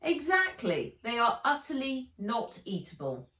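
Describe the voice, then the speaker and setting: A woman voicing a frank, prickly young girl, the heroine of a children's storybook, with a sharp manner. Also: on the high side, very animated, unhurried, echoey room, distant mic, voice pushed up. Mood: indignant. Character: plain-spoken, prickly young heiress girl.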